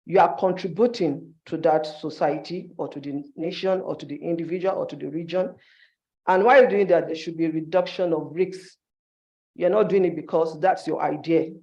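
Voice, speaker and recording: A man's voice: fairly high pitch, fairly even intonation, moderate pace, darkish tone, dull audio. A man giving an instructive conference presentation in short, emphatic phrases, as a university staff member.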